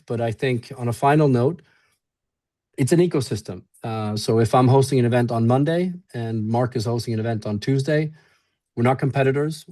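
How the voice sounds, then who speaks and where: A man from Sweden with no clear accent, talking in a relaxed, friendly way with many fillers on a webinar panel. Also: mid-range voice. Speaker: event organizer.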